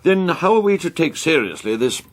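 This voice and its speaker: An actor playing a waspish upper-class intelligence agent in a radio drama, languid and arch in manner. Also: male voice, a little high, big pitch swings, normal speed. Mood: arch, amused. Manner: languid, arch, affected endearments.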